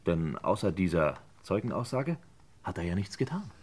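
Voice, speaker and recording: A man acting a determined, accusing figure in a radio crime drama, measured, formal and probing. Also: low-pitched, sing-song, darkish tone, husky, exaggerated voice. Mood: accusing, insistent. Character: determined, accusing son seeking truth, controlled and probing.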